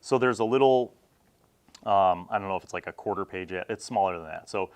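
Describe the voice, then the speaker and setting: An American man from Chicago hosting a retro gaming review, talking casually and haltingly as he thinks aloud. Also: low voice. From Chicago, United States. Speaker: host of a retro gaming magazine review series. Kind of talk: casual rambling review to camera, leafing through a magazine.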